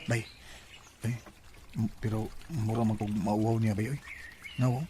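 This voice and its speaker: An actor with a Cebuano accent playing an earnest, smitten young suitor in a radio drama, speaking in an eager, heartfelt way. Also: male voice, on the low side, level tone, measured pace, over music, natural voice. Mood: shy, smitten. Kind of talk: Bisaya radio drama acting.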